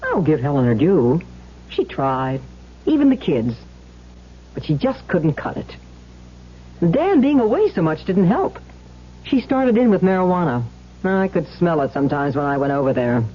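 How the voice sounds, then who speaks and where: Blunt gossiping neighbor, lower-pitched, chatty, scornful, radio drama, muffled vintage sound. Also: female voice, big pitch swings, normal speed, long pauses, a little hiss or hum. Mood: gossipy, scornful. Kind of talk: old-time radio drama acting. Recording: muffled old radio recording.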